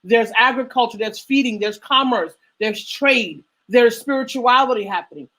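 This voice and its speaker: Emphatic woman host, higher voice. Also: moderate pace, a bit bright. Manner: emphatic, lecturing, repeats phrases. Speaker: radio show host. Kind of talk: passionate discussion.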